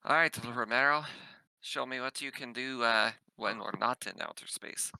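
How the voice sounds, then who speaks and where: A man's voice: mid-range voice, normal speed, gravelly, pushed and bright, smooth, no echo, rough recording. A man playing a tabletop roleplaying game, slipping casually between character lines and jokey, conversational table chat.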